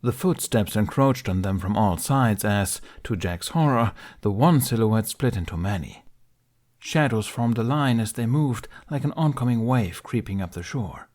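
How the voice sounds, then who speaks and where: A man reading a fan fiction aloud as an audiobook, in soft, measured storytelling. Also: lowish voice, expressive. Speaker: podfic reader.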